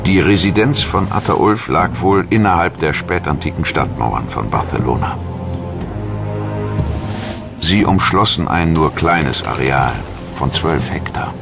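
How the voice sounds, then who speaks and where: A man narrating a scripted history documentary in a measured, articulate storytelling manner. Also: very deep voice, lively intonation, creaky, over music.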